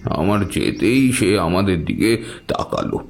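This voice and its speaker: A man's voice: deep voice, expressive, unhurried. A man narrating a scripted first-person horror story, as the storyteller of an audio drama.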